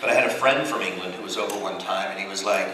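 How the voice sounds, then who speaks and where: A man's voice: sits low, quick pace. A middle-aged American man, a voice actor telling casual anecdotes on a convention panel and acting out the exchanges he quotes. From United States. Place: large convention hall, on stage. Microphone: handheld mic through PA, filmed from audience. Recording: echoey hall from far off, noisy.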